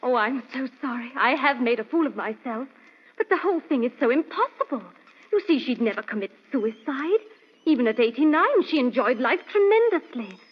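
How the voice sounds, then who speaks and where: On a dull old radio recording, a young lady's companion with a very high voice. She is earnest and chatty, with a genteel, fluttery manner suited to a vintage radio mystery. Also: lively intonation, moderate pace, slightly rough. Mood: anxious, explaining.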